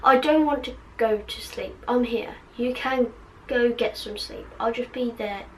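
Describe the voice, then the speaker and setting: A woman voicing a worried, devoted, stubbornly caring teenage girl witch from a story, softly and hesitantly. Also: fairly high pitch, unhurried, slight background noise, close to an everyday voice, low-quality recording. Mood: worried, insistent.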